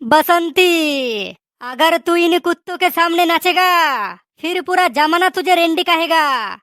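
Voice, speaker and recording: A man performs a defiant cartoon landlord in an extremely high, pitched-up voice. He is mouthy and stubborn, insisting on his point in exaggerated comic style. The recording is dry, with little room sound. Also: animated, takes it slowly, pushed and bright, dry recording, full character voice. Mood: dramatic.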